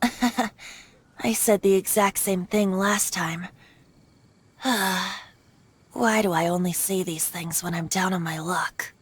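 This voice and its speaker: A woman's voice: mid-range voice, no room sound. Lady doing a chill playful boy bard god, lilting and teasing. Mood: wistful. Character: playful, carefree young male wind-god bard. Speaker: voice actor in a game dub.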